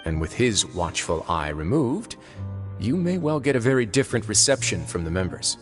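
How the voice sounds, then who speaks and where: An actor playing a composed, shrewd male prison warden in a video game, speaking in a measured, dryly amused way. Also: on the low side, sing-song, soft background music. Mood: scheming.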